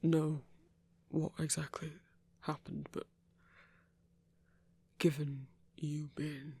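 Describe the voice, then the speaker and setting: A performer playing a gentle, awkward male werewolf hunter in an intimate audio roleplay, speaking haltingly and apologetically with earnest remorse. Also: mid-range voice, level tone, breathy edge, no echo, heavily acted. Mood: reassuring, hesitant. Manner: hesitant, halting, apologetic, stammering.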